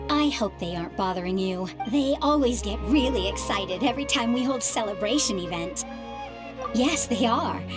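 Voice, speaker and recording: A middle-aged woman voicing a calm, soft-spoken widowed mother who works as a prop engineer in a video game. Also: medium pitch, lightly acted. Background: game music.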